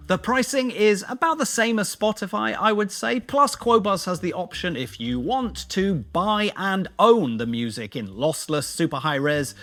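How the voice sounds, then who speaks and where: British man with lively, swooping delivery. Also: high voice. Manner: chatty, self-deprecating, jokey asides with slangy turns of phrase. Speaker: YouTube video host. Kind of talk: casual, conversational tech review vlog.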